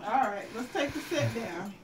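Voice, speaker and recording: A woman's voice: fairly high pitch, lively intonation, normal speed, rough recording. A woman chatting casually and playfully in a living room, encouraging like a family audience member. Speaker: audience member at a home puppet show. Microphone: phone camera across the room. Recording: home video with background chatter.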